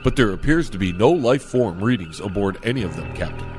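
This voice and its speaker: A man playing a coolly logical alien science officer in a sci-fi parody audio drama, deadpan, precise and formal. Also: on the low side, a little fast, faint music behind, natural voice. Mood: puzzled, analytical.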